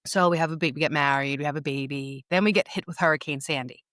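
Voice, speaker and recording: A woman's voice: deep voice, fairly even intonation, quick pace, fairly bright tone, dry recording, studio quality. A middle-aged woman from New York with a New York accent, telling her own story candidly and emphatically as a podcast guest. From New York, USA. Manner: candid, emphatic, repeats words for stress. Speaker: podcast guest, loss and empowerment coach. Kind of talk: personal storytelling in a podcast interview.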